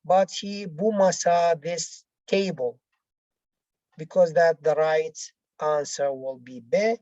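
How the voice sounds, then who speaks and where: Woman, non-native English, language teacher, lowish voice, halting and repetitive, step-by-step explanations, dull lo-fi recording. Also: dark tone, no echo. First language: not English. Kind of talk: bilingual lesson, reading out exercises and explaining answers.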